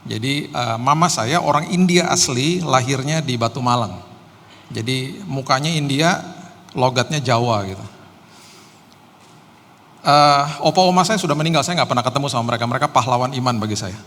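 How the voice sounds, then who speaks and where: A man's voice: medium pitch, normal speed, fairly bright tone. A middle-aged man preaches as a guest pastor in a conversational, storytelling way with self-deprecating humour. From Jakarta, Indonesia. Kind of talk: sermon with casual personal storytelling. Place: church hall during live service. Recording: reverberant hall, faint background noise.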